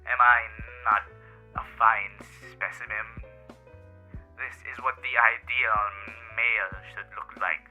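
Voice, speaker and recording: A man's voice: mid-range voice, steady tone. A man voicing a vain, smitten radio demon in a theatrical, pompous, teasing manner through an old-time radio filter, in character roleplay audio. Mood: smug, flirtatious. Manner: theatrical, pompous, teasing, with laughs and halting asides. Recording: muffled band-limited radio sound. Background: music.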